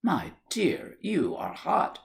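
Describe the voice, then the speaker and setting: A man's voice: sits high, huge pitch swings, slow pace, creaky, pitched above the performer's own voice, exaggerated voice. A man voicing a placid, easygoing Victorian husband in a storybook, mild, polite and unruffled.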